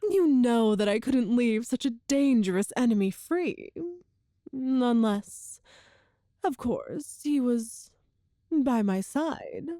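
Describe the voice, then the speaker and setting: Woman playing a slow, silky, regal evil empress. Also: fairly high pitch, dark tone, dry recording, studio quality. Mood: smug, menacing. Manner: regal, deliberate, teasing, formal diction. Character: cruel, seductive evil empress, proud and calculating. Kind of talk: scripted audio roleplay, ASMR-style monologue to the listener.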